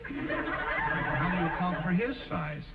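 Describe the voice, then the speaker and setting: An actor playing a flustered, wry door-to-door survey taker in a 1950s television sitcom. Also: male voice, sits high, lively intonation, slow, in a large hall, slight background noise. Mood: wry, puzzled. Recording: muffled old television soundtrack, distant microphone.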